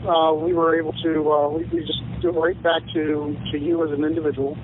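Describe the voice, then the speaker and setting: A man's voice: on the high side, flat delivery, brisk. A man explaining things as a government agent on an informal official call, restarting phrases, over a tinny phone line. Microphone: far end of phone call. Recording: quiet, tinny phone line, faint noise.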